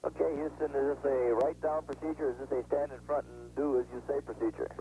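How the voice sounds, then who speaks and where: A man's voice: medium pitch, steady tone, quick pace, warm tone. An American man, a spacecraft pilot, speaking in terse procedural readbacks over a radio transmission. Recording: crackly, phone-like air-to-ground radio.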